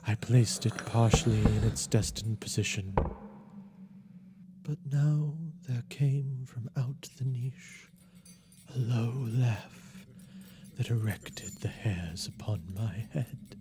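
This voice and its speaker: A man giving a theatrical, deliberate and intense first-person reading of a gothic story as its narrator. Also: mid-range voice, background noise.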